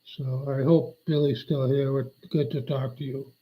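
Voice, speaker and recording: A man's voice: medium pitch, flat delivery, normal speed, husky. An older man rambling on a live stream, meandering and aggrieved, often losing his train of thought. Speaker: livestreamer. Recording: dull lo-fi audio.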